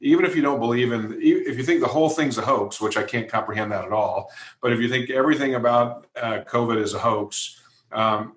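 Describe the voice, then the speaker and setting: A man's voice: on the low side, fairly bright tone. An American man giving conversational interview answers as a guest, earnest, emphatic and plain-spoken. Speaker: interview guest, events company executive. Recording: echoey room sound.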